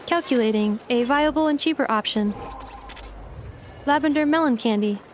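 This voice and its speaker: Woman voicing a high, matter-of-fact robot assistant. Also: moderate pace, slight background noise, lightly acted. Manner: clipped, formal, matter-of-fact. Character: polite, matter-of-fact female robot assistant, dryly strict. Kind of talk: video game voice acting.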